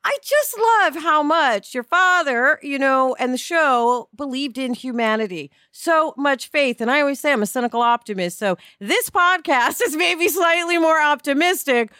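Woman, podcast co-host, bright forceful voice, very dry close sound, emphatic and gushing, conversational. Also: sits high, lively intonation, normal speed, hardly any pauses, no room sound.

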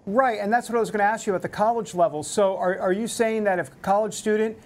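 A man's voice: high voice, steady tone, fast. Rambling, jokey male talk show host. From New York. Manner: jokey, rambling, lots of filler uhs. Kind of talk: casual talk radio.

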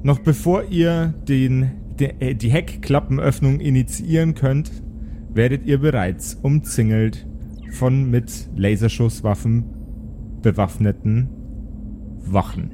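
A man's voice: mid-range voice, slow pace, noisy background. A man narrating an improvised tabletop game as game master.

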